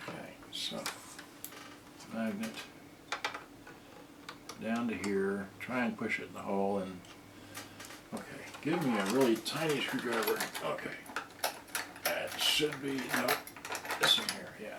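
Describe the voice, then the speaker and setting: Male, low-pitched, unhurried and halting, muttering through hands-on repair work, recorded distant from the mic. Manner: halting, talking to himself while working. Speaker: repair video host. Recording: distant roomy mic, loud noise, clicks and clatter.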